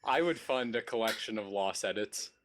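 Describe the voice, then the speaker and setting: A man's voice: on the low side, moderate pace, fairly bright tone, slight background noise. A man co-hosting a podcast, chatting casually with a group. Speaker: podcast co-host.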